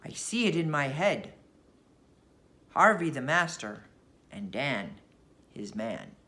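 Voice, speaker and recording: Woman as a slow, cryptic prophetic ship's cook. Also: very deep voice, sing-song, pushed and bright, pitched below the performer's own voice, heavily acted. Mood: solemn. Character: solemn, mysterious male ship's cook making prophecies. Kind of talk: storybook character voice.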